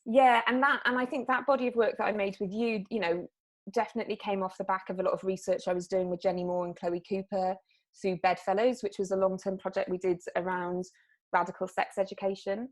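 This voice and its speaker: Woman, artist, casual interview chat, halting, filler-heavy phrasing, mid pitch. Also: steady tone. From South Wales. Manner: hesitant, lots of fillers and restarts.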